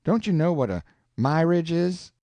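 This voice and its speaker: A man plays a know-it-all boy, calm and superior, lecturing his friends in rustic dialect. His delivery rises and falls freely, with a dry, close recording. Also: fairly high pitch, normal speed, dry recording, voice pushed up.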